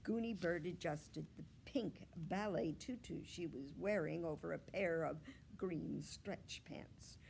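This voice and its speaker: A woman narrating a children's storybook as a library read-aloud, reading carefully and deliberately. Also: deep voice, vocal fry, darkish tone. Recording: faint background noise.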